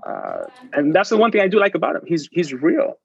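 A man's voice: on the high side, wildly swooping pitch, brisk, vocal fry, husky, no echo. Male podcast guest, native Spanish speaker, motivational and sincere, lo-fi dry sound. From United States. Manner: earnest, motivational, repeats words as he restarts. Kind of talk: casual interview chat.

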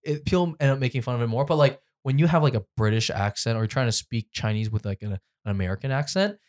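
A man chatting casually with friends, his voice sitting in an ordinary middle register. He talks at length, circling back and restarting phrases as he reaches for what he means, and gets more animated as the talk goes on. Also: medium pitch, quick pace. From Hong Kong. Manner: talkative, restarts often, animated when laughing. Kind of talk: casual group chat.

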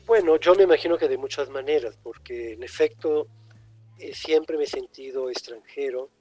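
An older man raised in Italy with Italian as his first language, a writer reflecting slowly in an interview, often pausing and restarting. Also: medium pitch, a little mellow, no echo, soft background music. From born in Egypt, raised in Italy. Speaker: writer guest. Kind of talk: thoughtful interview answers.

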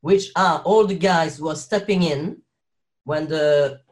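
A man's voice: on the high side, a little slow. French-accented man, chatty and laughing. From Belgium. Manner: rambling, laughs often, many restarts. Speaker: guest actor. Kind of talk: casual interview chat.

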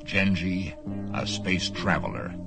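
A man with a deep voice makes a scripted, dramatic radio series announcement. Loud music plays beneath his words. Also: expressive, slow pace, gravelly.

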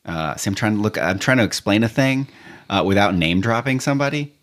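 A man's voice: lowish voice, fairly quick. An American man in casual podcast chat, rambling and swearing, acting out little stories with other people's voices. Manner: rambling, swearing, animated retellings.

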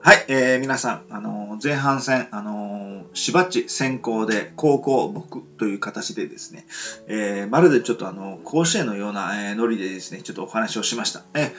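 A man's voice: on the low side, brisk. A man hosting a casual talk radio show, hesitant and full of fillers, nodding along as his co-host talks. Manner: hesitant, lots of fillers and steady back-channel nods. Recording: lo-fi with guitar music behind.

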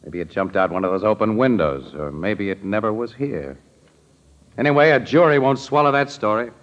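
An American actor playing a wry, hard-boiled crime photographer in a dry, laconic 1940s radio drama style. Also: male voice, lowish voice, normal speed, big-room sound, natural voice. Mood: dry, skeptical. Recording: dull old radio recording, music behind.

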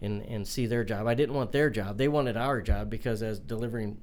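A man in his forties with a young-sounding voice, answering interview questions in a halting way with many fillers and restarts. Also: fairly low pitch, a little mellow. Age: 40s. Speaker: mortgage company owner.

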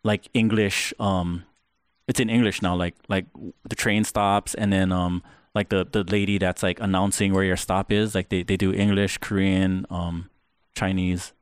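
A man's voice: low-pitched, level tone, normal speed, clear, resonant tone, dry recording, clean studio sound. A man in his forties from Hawaii, with a light Pidgin accent, chatting casually as a podcast guest, laid-back, with lots of fillers and swearing. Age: 40s.

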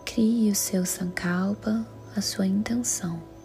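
A Brazilian Portuguese woman leads a guided meditation in a calm, soothing, measured way, her voice soft, breathy and half-whispered. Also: mid-range voice. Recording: music under the voice. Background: music.

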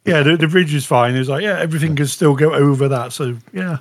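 A man with a fairly high voice talks as a podcast panelist. His delivery is informal and conversational. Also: quick pace. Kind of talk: casual chat.

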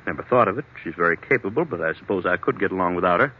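A man's voice: low voice, lively intonation, rapid-fire, slightly rough. An actor playing an anxious, troubled businessman in a 1940s radio drama, speaking hesitantly and earnestly on a dull old recording. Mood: guarded. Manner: hesitant, earnest, agitated when challenged. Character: troubled, anxious businessman suffering blackouts, confiding in a doctor.

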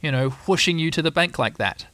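A man voicing a self-important, officious rabbit in a storybook, brisk, pompous and fond of taking charge. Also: a little high, fairly bright tone, no echo, pitched above the performer's own voice, natural voice. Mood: inquisitive.

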